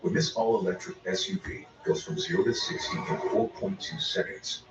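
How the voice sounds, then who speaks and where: A man's voice: fairly low pitch, big pitch swings, brisk, noisy background. A man delivering scripted voice-over narration for a car commercial. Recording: distant, echoey, muffled TV playback. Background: music.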